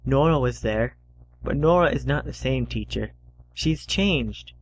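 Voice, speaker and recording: A woman voicing a gentle, dreamy thirteen-year-old boy who speaks softly and earnestly. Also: extremely low pitch, expressive, measured pace, voice pushed down, exaggerated voice, low-quality recording. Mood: sorrowful.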